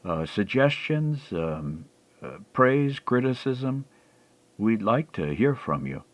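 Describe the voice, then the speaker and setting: A man hosting an old-time radio program, announcing in a deliberate way and drawing out some words. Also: mid-range voice, big pitch swings, no echo.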